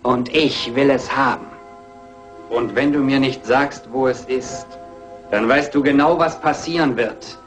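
A man's voice: mid-range voice, a little slow, lightly acted. Actor as menacing, sardonic man over music. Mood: sardonic, threatening. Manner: threatening, sardonic. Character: greedy, menacing nephew, cold and accusing. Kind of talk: German radio crime drama acting.

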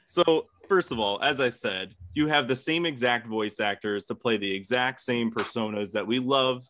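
A man's voice: medium pitch, normal speed, bright, forceful tone, no room sound. A man arguing insistently in a casual competitive debate, swearing freely. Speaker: debate contestant.

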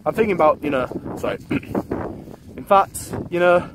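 A young man, somewhat high-voiced, rambles casually into his phone, stopping to restart and correct himself. He is walking outdoors on open, windy ground. A loud wind rushes across the phone mic the whole time. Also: expressive. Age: 20s. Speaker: vlogger. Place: outdoors on a windy grassy embankment. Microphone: phone held at arm's length.

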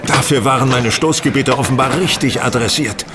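An actor playing a self-assured, courteous gentleman detective in a German radio play, polite and dryly amused. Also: mid-range voice, fairly quick, background noise, close to an everyday voice. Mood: composed, encouraging.

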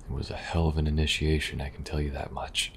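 A man playing a weary, kind young government agent in a soft-spoken, halting ASMR roleplay. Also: very deep voice, level tone, slight background noise. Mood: weary, reminiscing. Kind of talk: intimate ASMR audio roleplay.

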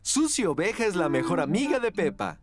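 A man's voice: high-pitched, very animated, fairly quick, slight background noise. A man reading scripted narration for a children's cartoon. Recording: music under the voice.

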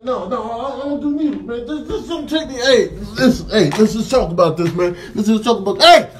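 A man's voice: very high-pitched, fairly quick, reverberant, recorded from a distance, slight background noise. A man voicing a panicky, betrayed lion in a comedy dub, whining and protesting. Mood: nervous.

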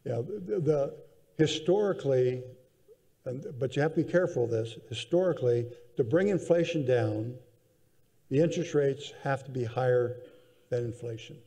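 Older man with a gravelly, mellow voice. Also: mid-range voice. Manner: measured, thoughtful, with frequent restarts. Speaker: panel guest. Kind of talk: conference panel discussion. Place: large conference hall stage, seated in armchair. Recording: reverberant convention hall.

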